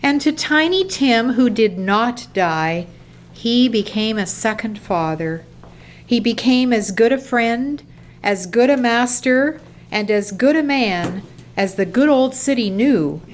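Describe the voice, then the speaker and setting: Higher-pitched woman narrating a story. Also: expressive, slow. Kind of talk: storybook narration for a radio play.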